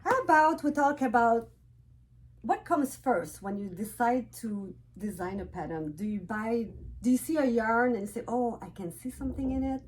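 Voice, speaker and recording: A middle-aged woman hosting a knitting podcast, asking questions and encouraging her guest with quick affirmations. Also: a little high, darkish tone, husky, low-quality recording. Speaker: podcast host. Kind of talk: casual interview chat.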